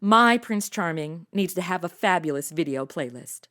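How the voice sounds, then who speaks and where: A woman's voice: lowish voice, expressive, moderate pace, lightly acted. An actress playing a sassy, slangy modern young woman with a sarcastic streak in a comedy audio drama. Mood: wry.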